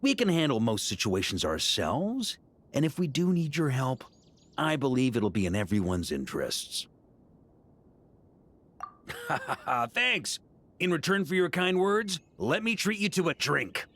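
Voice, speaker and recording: An actor playing a talkative, good-humored middle-aged knight grandmaster in scripted video game dialogue, with a theatrical way of chatting. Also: male voice, a little high, very animated, a little slow, fairly bright tone, a little hiss or hum. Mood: jovial, persuasive.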